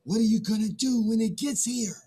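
A man's voice: sits high, fairly quick, warm tone, slightly rough. A man acting a naive, timid young man in a theatrical play reading, hesitant and bewildered.

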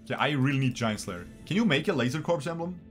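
A man's voice: medium pitch, animated, fast. A young man from Bosnia, raised in Switzerland, commentates his own game live, chatty and loose, often repeating himself. He speaks into a desk mic in a small room. Faint game music plays under his voice. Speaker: streamer.

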